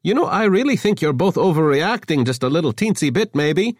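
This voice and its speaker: Male narrator doing a flighty, gushing young man, way up high, theatrical. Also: very animated, a little fast, dry recording, studio quality. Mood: manic cheer. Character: giddy, flighty young man, cheerfully manic.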